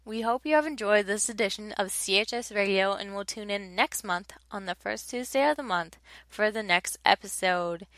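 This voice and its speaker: Bright-voiced young woman podcast host. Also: mid-range voice, moderate pace, hardly any pauses, no echo. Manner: chatty, self-mocking about flubbed lines. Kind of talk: casual podcast hosting with bloopers.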